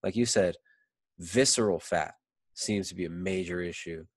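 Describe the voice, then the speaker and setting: A man in his thirties with a very low, deep voice talks loosely on a video call, wandering through his points with plenty of "like" and "you know". The sound is dry and close with no room in it. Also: normal speed, husky, dry recording. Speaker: podcast guest. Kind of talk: casual conversation. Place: indoor room. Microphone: video call, desk mic.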